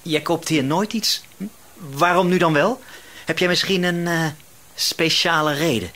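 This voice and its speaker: An actor playing a paranoid, quietly menacing male shopkeeper in a Dutch radio drama, hesitant yet insistent. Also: fairly high pitch. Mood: wary, suspicious. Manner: hesitant, insistent, suspicious, drawn-out words.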